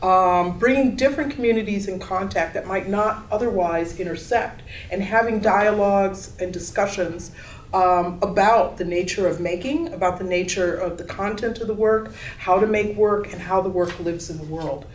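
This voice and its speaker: A woman artist and teacher speaking in a measured, articulate, explanatory way during a panel discussion. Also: medium pitch. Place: gallery room, picked up from a distance. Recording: echoey room, distant mic, background noise.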